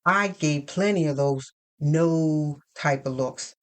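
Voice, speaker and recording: Female narrator for scripted drama: deep register, unhurried, deliberate first-person storytelling.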